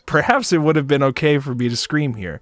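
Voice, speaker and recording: A man doing a dramatic narration of a visual novel for a stream, expressive, sometimes stumbling and joking. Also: mid-range voice, a little fast, no room sound. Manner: expressive, stumbles and rereads lines. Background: music behind the voice.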